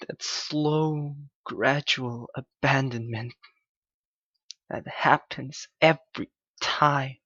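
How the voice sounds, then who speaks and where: A man playing a clingy, heartbroken young yandere lover in an intimate ASMR roleplay, halting and pleading in his anguish. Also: mid-range voice, fairly even intonation, sharp tone, dry recording, highs cut off, heavily acted.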